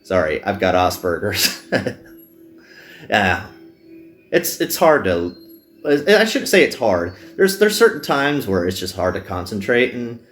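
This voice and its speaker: A man's voice: fairly low pitch, sing-song, unhurried, a bit bright, soft background music. A man hosting a gaming video, chatting candidly in casual, wandering commentary. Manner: candid, wandering train of thought.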